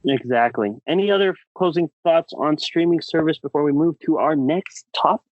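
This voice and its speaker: A man's voice: a little high, moderate pace, dry recording, muffled recording. A man co-hosting a podcast in casual, enthusiastic banter, repeating words for emphasis. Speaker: podcast co-host.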